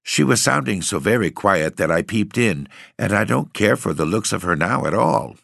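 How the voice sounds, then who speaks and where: A man's voice: lowish voice, expressive, normal speed, creaky, a bit bright, no room sound, studio quality, full character voice. A man voicing a frail, timid young woman who speaks in a fretful quaver. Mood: anxious.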